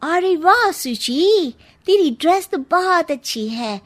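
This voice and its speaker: A woman's voice: very high voice, expressive, slow, heavily acted. A woman voicing an admiring, envious young female friend in a cartoon story. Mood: admiring, teasing.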